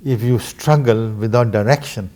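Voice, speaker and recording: A middle-aged man from Singapore, a guest talking slowly and earnestly about struggle and faith. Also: medium pitch, mellow. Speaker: interview guest, businessman. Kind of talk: reflective spiritual conversation.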